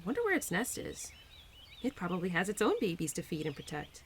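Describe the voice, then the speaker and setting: A woman voicing a cheerful, playful young spirit of Spring in an audio roleplay, gentle and affectionate with a giggly, easily flustered manner. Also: on the low side, big pitch swings, a little fast, a little hiss or hum. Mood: observant, gentle. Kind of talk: audio roleplay addressed to the listener.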